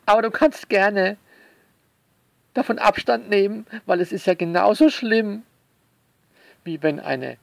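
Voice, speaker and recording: A man delivering a sarcastic spoken essay to listeners, theatrical and mock-indignant, leaning on words with drawn-out emphasis. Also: high voice, vocal fry, no echo. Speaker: author commenting on their own novel.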